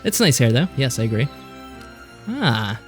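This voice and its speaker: A man's voice: mid-range voice, big pitch swings, a little slow, soft background music. A man giving casual commentary as the co-host of a let's play.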